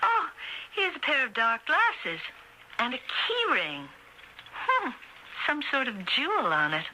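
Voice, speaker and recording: An actress playing a businesslike, chatty castle tour guide in old-time radio drama. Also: female voice, sits high, huge pitch swings, slow pace, sharp tone, full character voice, rough recording. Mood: musing. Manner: matter-of-fact, chatty. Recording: old radio broadcast, faint noise.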